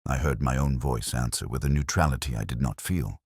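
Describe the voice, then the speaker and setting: A man narrating a literary detective story in the first person, in a measured, brooding and formal manner. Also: extremely low pitch, a little mellow, husky, no echo, clean studio sound.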